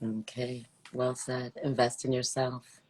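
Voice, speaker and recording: Expressive woman host with tinged speech. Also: very deep voice, takes it slowly, slightly rough. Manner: expressive, slips into patois phrasing. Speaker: interview host. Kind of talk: casual live interview hosting. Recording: livestream video call.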